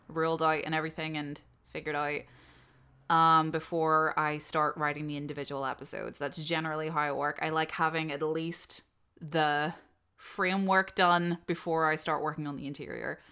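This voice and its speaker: A woman's voice: low-pitched, bright, forceful tone, smooth. A woman who creates audio dramas, talking casually and unscripted as she answers subscriber questions in a rambling, self-deprecating way.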